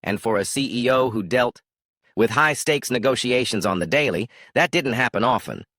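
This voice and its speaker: A man's voice: medium pitch, animated, normal speed, a bit bright, husky, no echo, clean studio sound. A man narrating a scripted story in a dramatic storytelling manner.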